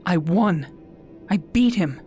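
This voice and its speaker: A woman's voice: fairly low pitch, lively intonation, slow pace, warm tone. A woman playing a devout, wounded warrior sister in an audio drama, grave and intense as she narrates her own story. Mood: distressed, disbelieving. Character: wounded devout warrior sister, resolute martyr facing death. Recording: dramatic music behind the voice.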